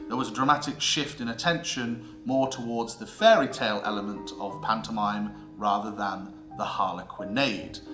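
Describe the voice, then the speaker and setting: A British man giving a scripted educational narration as a theatre history presenter, with an emphatic, theatrical delivery and deliberate pauses. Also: mid-range voice. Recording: roomy echo with music underneath.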